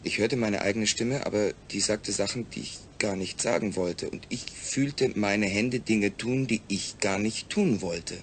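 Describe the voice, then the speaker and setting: A man acting in a German audio drama as a calm, rational astronaut, speaking in a measured, explanatory way. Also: fairly low pitch, a little hiss or hum, natural voice. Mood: earnest, explaining.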